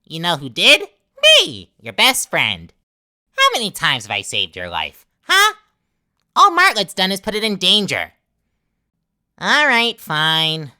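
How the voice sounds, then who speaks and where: A two-faced, wildly swooping talking flower. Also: female voice, on the low side, wildly swooping pitch, slow, pushed and bright, full character voice. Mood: coaxing, scornful, then wounded, sulky. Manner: taunting, sarcastic, theatrical. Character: manipulative, two-faced talking flower posing as best friend. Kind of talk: dramatic game fan dub.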